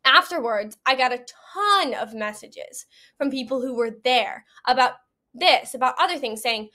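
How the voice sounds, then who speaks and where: A woman's voice: sits high, animated, normal speed, sharp tone. A woman giving a personal account as an interview guest, earnest, conversational and emphatic. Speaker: podcast guest, event attendee.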